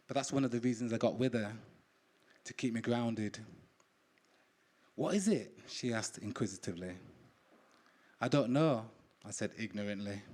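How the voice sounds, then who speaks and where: A man reading his own first-person story at a live event, measured and descriptive, voicing bits of dialogue. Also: on the low side, a little mellow.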